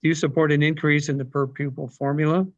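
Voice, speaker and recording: A man's voice: fairly high pitch, flat delivery, fast, no echo. An older man moderating a candidate forum over a video call, reading prepared questions aloud with frequent hesitations. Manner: reads questions aloud with frequent ums and uhs. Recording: dull dry video-call audio.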